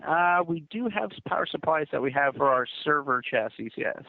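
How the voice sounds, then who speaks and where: A man's voice: fairly high pitch, expressive, normal speed, gravelly, dry recording. A man working as a presales support agent on a phone call, explaining things patiently and politely with some hesitation. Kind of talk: customer service phone conversation. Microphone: telephone. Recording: dull narrow phone line.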